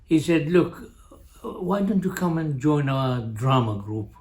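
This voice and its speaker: An older man with an Arabic accent telling a family story in a halting way, full of pauses and restarts. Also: fairly high pitch, animated, darkish tone. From Jordan. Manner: halting, many uhs and restarts, chuckles at own story. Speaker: family show guest, father. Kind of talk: storytelling, casual family chat.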